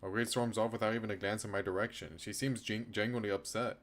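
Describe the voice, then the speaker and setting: A man's voice: fairly low pitch, fairly even intonation, fast talker, fairly bright tone, slight background noise. A man streaming a game, reading a visual novel aloud and adding casual commentary.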